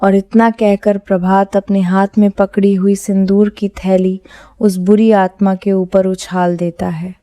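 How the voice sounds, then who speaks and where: A female narrator tells a scripted horror tale. Her voice is dark and mellow at a middle pitch. The recording is dry and close, without room echo. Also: flat delivery, unhurried.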